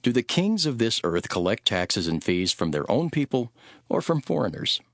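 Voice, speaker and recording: An actor playing an authoritative, earnest holy teacher in a dramatised scripture recording. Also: male voice, mid-range voice, very animated, normal speed, dry recording, clean studio sound. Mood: calm, instructing. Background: music.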